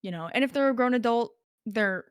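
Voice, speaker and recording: A woman's voice: on the high side, a little fast, clear, resonant tone, no echo, clean studio sound. A young woman as a podcast guest, talking in a chatty way with lots of filler words. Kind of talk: casual podcast conversation.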